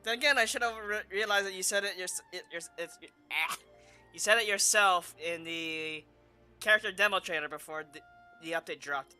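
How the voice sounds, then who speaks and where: Game streamer, bright sharp voice, mid pitch, casual reactions, music behind. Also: moderate pace, clear, resonant tone.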